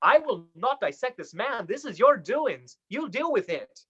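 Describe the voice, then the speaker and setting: On slightly dull audio, a woman plays a principled young medical apprentice in a horror radio play. The voice is fairly high and very expressive. The delivery is formal, earnest and distressed. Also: a little slow, breathy edge, exaggerated voice. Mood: reproachful. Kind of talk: live radio play acting.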